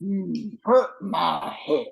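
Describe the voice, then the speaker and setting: Man playing a slow, creaky swamp werewolf. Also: high voice, expressive, full character voice. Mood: friendly, folksy. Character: legendary Cajun werewolf swamp creature, lumbering, good-hearted. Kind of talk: amateur radio play acting.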